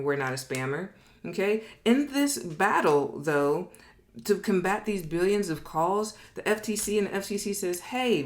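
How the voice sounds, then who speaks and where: A woman presenting an informal lesson in a conversational, emphatic way, acting out imagined quotes and often checking that listeners follow. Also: lowish voice. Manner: conversational, emphatic, frequently checks in with "okay?" and "right?". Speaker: business educator, presenter. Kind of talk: informal explainer lesson at a board.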